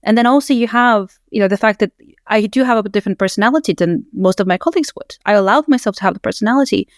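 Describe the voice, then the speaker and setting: A woman hosts a podcast, speaking at a middle pitch on a dry, close recording. She talks emphatically and persuasively. She lays out her points in stacked lists. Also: quick pace, dry recording. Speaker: podcast host. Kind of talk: casual podcast conversation.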